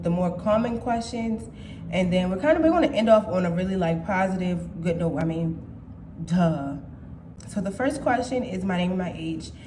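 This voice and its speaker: A woman's voice: on the low side, reverberant, noisy background. A woman in her twenties chatting casually and playfully to her viewers as a vlogger, swearing freely. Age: 20s. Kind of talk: casual chat.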